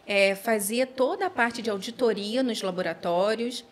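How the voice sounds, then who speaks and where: A woman in her forties with a mixed Brazilian accent, telling her story in an expressive, chatty way as a podcast guest. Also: medium pitch, moderate pace. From Rio de Janeiro and São Paulo, Brazil. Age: 40s. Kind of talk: casual interview storytelling.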